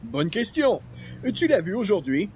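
A man's voice: sits high, very animated, measured pace, darkish tone, slightly rough, exaggerated voice. A man playing a precise, puzzled comic busybody, articulate and theatrical, in a radio comedy. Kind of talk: old-time radio comedy acting.

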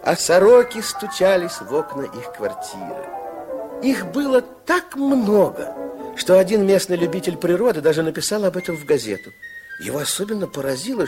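An actor playing an expressive, kindly storyteller, narrating a children's fairytale radio play of the 1960s in a theatrical manner. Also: male voice, high voice.

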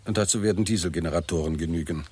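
An actor playing a confident, shrewd professor of astronomy, explaining precisely in a 1960s German radio drama style. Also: male voice, low-pitched, racing, a little mellow, husky. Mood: lecturing. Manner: didactic, precise, self-assured.

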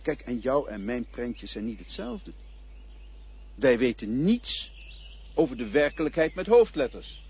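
Actor doing a husky, lively-voiced guide of the dead for a radio play, patient and quietly mocking, dull lo-fi sound. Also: male voice, medium pitch, measured pace, heavily acted. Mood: calm. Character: calm cryptic male guide of the dead. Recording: dull lo-fi old radio recording.

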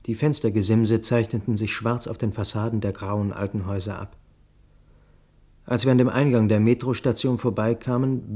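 An actor playing a grave first-person narrator in a literary radio play, speaking in a measured, deliberate way. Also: male voice, on the low side, darkish tone, natural voice. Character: grave male witness recounting a deadly night attack.

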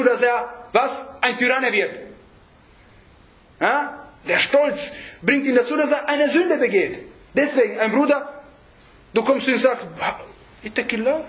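A man's voice: very high voice, fairly bright tone, poor audio. A man preaching in an informal religious lesson, conversational and full of rhetorical check-backs to his listeners, with Arabic phrases mixed in. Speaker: Islamic preacher teaching a group.

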